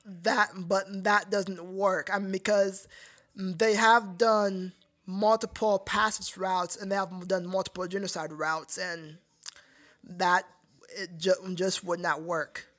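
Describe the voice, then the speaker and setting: Halting, casual livestream host. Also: medium pitch, steady tone, fairly bright tone. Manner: halting, repetitive, addresses viewers as sir. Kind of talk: casual livestream chat with viewers.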